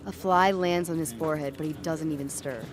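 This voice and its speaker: A woman's voice: low voice, steady tone, fairly quick, over loud music, lightly acted. A woman playing an uneasy, tripping young woman walking home at night, narrating in the first person in a dramatic audio story. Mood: uneasy, watchful. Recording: clear street and traffic ambience behind.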